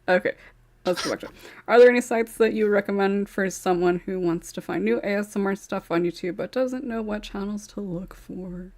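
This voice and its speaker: A woman's voice: mid-range voice, normal speed, darkish tone. A woman hosting a casual group podcast, moderating questions in a giggly, apologetic and flustered way. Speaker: podcast host.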